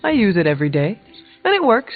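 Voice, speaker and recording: A woman's voice: medium pitch, huge pitch swings, fast talker, soft background music. A woman playing an earnest warrior from another time in a video game, formal and literal in manner. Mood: earnest, puzzled. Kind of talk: video game dialogue acting. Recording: thin, muffled game audio.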